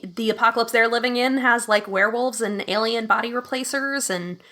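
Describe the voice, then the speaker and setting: A woman's voice: on the high side, brisk, sharp tone. A woman talking casually and enthusiastically as a guest in a podcast interview, in an informal way. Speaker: podcast guest, audio fiction producer.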